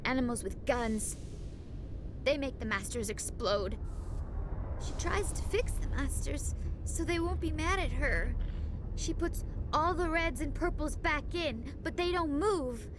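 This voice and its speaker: A woman playing a fearful, dissociated young former slave in a video game, speaking haltingly and childlike. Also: sits high, expressive, background noise. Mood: haunted, distant. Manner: speaks of herself in third person, halting. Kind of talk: dramatic video game acting.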